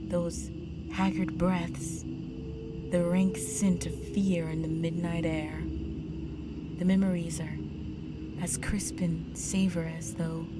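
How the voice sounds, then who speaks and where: A seductive vampire countess, acted by a woman for an intimate audio drama, speaks very slowly in a fairly low voice. She is aristocratic, possessive and condescending, teasing her prey and often sinking into breathy whispers. Prominent music plays under her words. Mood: savoring, menacing.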